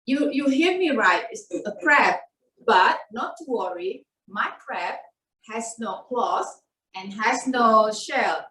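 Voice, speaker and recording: A woman's voice: a little high, animated, slow, fairly bright tone, slight background noise. A middle-aged woman from Indonesia, whose first language is Indonesian, giving a chatty, playful cooking demonstration from her home kitchen over a video call. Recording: echoey room, far from the mic.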